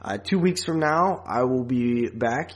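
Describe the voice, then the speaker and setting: A man's voice: mid-range voice, expressive, measured pace. A man hosting a podcast, chatting casually about films and often restarting his thoughts. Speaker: podcast host. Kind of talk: casual film review chat.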